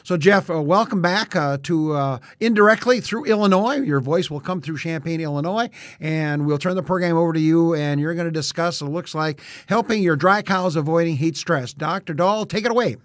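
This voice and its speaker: Higher-voiced male host, dry sound. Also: moderate pace, hardly any pauses, no echo. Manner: hesitant, frequent "uh" fillers. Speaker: webinar host introducing the speaker. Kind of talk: formal introduction.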